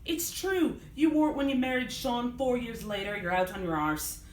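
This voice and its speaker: A woman's voice: a little high, sing-song, normal speed, voice pushed up. A woman reading the part of a jilted young bride in a novel, sarcastic and emphatic. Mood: bitter. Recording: roomy live reading, background noise.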